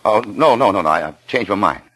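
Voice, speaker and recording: A man's voice: on the low side, lively intonation, a little fast, fairly bright tone. An actor playing a nervous, stammering American husband on the run, confessing his story in a mid-century radio thriller. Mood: flustered, desperate. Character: panicky, down-on-his-luck husband on the run, narrating his crime. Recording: vintage radio recording with music behind.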